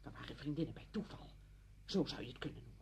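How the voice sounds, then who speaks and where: An actress playing an exasperated, blunt, emotional neighbour in a radio play, agitated and emphatic. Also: female voice, a bass voice, animated, quick pace, creaky, a little mellow, breathy edge, full character voice. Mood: angry, resentful. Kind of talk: Dutch radio play acting.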